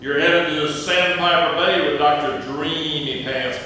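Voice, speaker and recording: A man's voice: on the high side, fairly even intonation, measured pace, pushed and bright. A man acting as a grumpy, jealous old husband in a stage comedy, sarcastic and blustery. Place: stage in a theatre hall. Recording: very echoey theatre hall, distant, faint noise.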